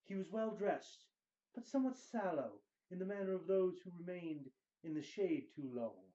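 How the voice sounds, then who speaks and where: A man giving a dramatic reading of fiction, with theatrical, deliberate and weighty phrasing. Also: high-pitched, lively intonation, dark tone, dull audio. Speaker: show guest host reading book excerpts.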